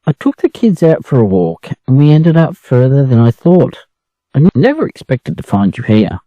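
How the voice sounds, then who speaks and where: A man's voice: medium pitch, big pitch swings, normal speed, dry recording, narrow, tinny audio. An Australian man voicing a protective, chatty mother hen in a storybook reading. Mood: friendly, chatty. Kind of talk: storybook character voice.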